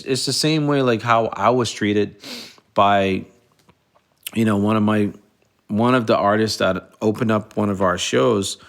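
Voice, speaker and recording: Man, from the Bahamas, middle-aged, low voice, gravelly, earnest, halting, podcast host. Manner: earnest, halting with frequent restarts and fillers. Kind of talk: reflective solo podcast monologue.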